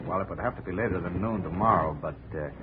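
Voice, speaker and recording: An actor playing a wary, terse crook being squeezed for money in a 1950s radio drama. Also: male voice, low voice, fast, background noise, close to an everyday voice. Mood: wary, grudging. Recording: dull old radio recording.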